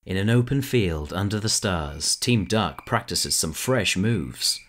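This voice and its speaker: A woman reads the scripted narration of a drama in a plain, even manner. Her voice sits extremely low. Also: unhurried, a little hiss or hum.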